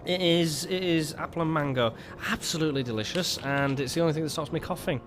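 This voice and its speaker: A man's voice: a little high, quick pace, fairly bright tone, faint music behind. A man hosting casual gameplay commentary, rambling with stumbling restarts and a lingering cough. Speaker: gaming video host.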